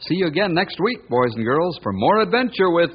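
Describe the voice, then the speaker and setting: Husky male old-time radio announcer. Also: on the high side, very animated, a little fast, dull audio.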